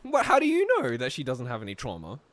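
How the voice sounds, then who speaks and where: A man hosting a casual gaming session with friends, excitable and giggly, switching between chatting and reading story narration aloud. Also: mid-range voice, fast talker. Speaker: host of a gaming stream. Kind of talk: casual group playthrough, reading visual novel narration aloud.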